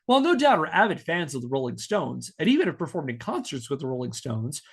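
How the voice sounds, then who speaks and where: A man hosting a podcast, telling a researched story to friends in a partly scripted, measured way. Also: high voice. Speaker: podcast host.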